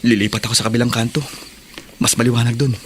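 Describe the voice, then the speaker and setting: A man's voice: mid-range voice, flat delivery, fast talker, slight background noise, natural voice. A man playing a defiant, troubled young son in a Filipino radio drama, with a sullen, flippant manner. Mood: impatient muttering.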